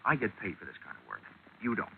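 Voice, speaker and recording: An actor playing a wisecracking, hardboiled male investigator in a 1940s radio drama, with a wry, deadpan, tough manner. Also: fairly low pitch, quick pace, creaky, a little hiss or hum, full character voice. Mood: cool, firm. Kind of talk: 1940s radio detective narration and acting. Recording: dull old 1940s radio recording.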